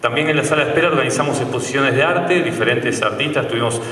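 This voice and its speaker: A man's voice: medium pitch, fast, sharp tone. A man in his thirties with a Uruguayan Spanish accent, a dentist giving a conversational stage talk with frequent hesitations. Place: large theatre stage. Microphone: handheld mic on a stand, filmed from far off. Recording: roomy hall sound from a distant camera.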